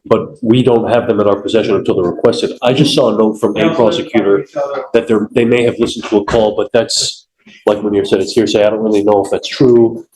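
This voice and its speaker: Low-voiced male prosecutor in echoey room. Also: steady tone, fairly quick, darkish tone. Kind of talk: courtroom explanation to a judge. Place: indoor courtroom. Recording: echoey courtroom audio.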